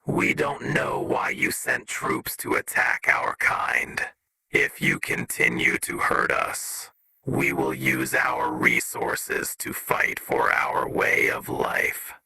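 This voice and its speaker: Alien invader: menacing and condescending, heavily gravelled, wildly swooping delivery in a sci-fi broadcast. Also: male voice, mid-range voice, very animated, sharp tone, dry recording, heavily acted. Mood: threatening. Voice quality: gravelly, rasping, distorted. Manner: slow, haughty, threatening. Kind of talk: scripted sci-fi broadcast message.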